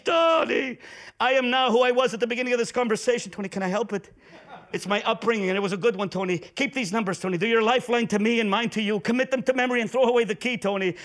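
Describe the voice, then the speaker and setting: Gushing department-chair professor, melodramatic and fast-talking, dropping Italian phrases, put on by a man. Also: extremely high pitch, a bit bright. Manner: effusive, pleading, repeats the listener's name constantly; sprinkles in Italian and Latin phrases. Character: gushing middle-aged male professor, melodramatic.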